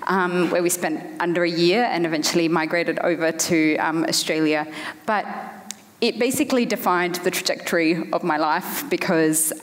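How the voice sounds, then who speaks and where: Panel guest, woman in her thirties, headset mic on a stage, measured and reflective, lowish voice. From Afghanistan. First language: Farsi. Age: 30s. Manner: thoughtful, many "um" pauses. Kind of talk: panel discussion. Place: large hall on stage. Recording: long hall reverb.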